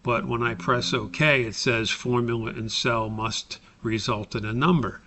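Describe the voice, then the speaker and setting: An American man giving an informal, step-by-step software tutorial as a course instructor, pausing mid-sentence as he explains. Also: mid-range voice, steady tone, crowd noise. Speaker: course instructor recording a tutorial for his class.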